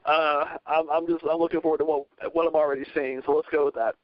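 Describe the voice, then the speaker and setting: A man on a podcast panel in casual discussion, rambling earnestly as he thinks out loud. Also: a little high, level tone, quick pace, noisy background. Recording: dull, radio-like lo-fi audio.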